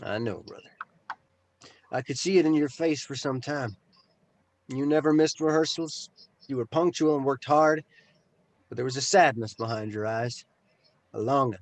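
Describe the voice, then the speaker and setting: A man's voice: medium pitch, dry recording, natural voice. Man playing a grave, formal elder brother. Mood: solemn, tender. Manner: formal, measured, dramatic phrasing. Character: grave, affectionate older brother Sasha, solemn and formal. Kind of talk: table read of a pilot script.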